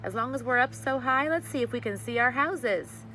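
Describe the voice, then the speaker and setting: A woman's voice: on the high side, moderate pace, pushed and bright, a little hiss or hum, pitched above the performer's own voice. A woman voicing a brave, sensible little girl in a storybook, matter-of-fact and encouraging.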